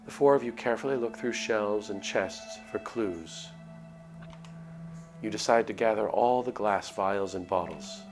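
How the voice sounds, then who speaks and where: A man's voice: fairly low pitch, a little slow. A man streaming a visual novel, reading the narration aloud expressively with casual asides. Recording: music under the voice. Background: game background music.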